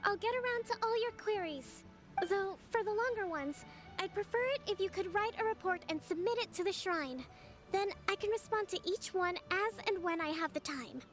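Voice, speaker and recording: A woman voicing a gentle, composed young island leader in a video game, polite and measured but overworked. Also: very high voice. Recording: game music behind the voice.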